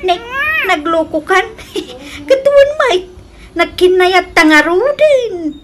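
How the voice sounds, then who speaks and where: A headstrong old mother in love in a comedy radio drama, speaks in a high voice. She is coquettish, insistent and dramatic, and the recording has clear background noise. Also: lively intonation, slow, fairly bright tone, exaggerated voice.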